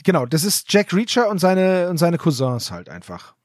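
A man's voice: high-pitched, sing-song, normal speed, no echo, clean studio sound. A man co-hosting a podcast, chatting casually and animatedly about an audio drama. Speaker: podcast host.

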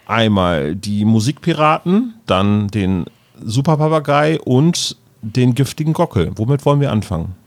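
Informal man with a medium-pitched voice. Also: very animated, moderate pace, hardly any pauses. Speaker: podcast co-host. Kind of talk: casual chat.

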